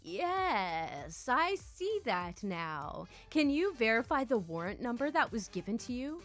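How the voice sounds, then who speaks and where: Smooth, smug con man on the phone read by a woman storyteller, lively pitch, obliging officialese. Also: takes it slowly, soft background music, exaggerated voice. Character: smug, smooth-talking male phone scammer posing as an official.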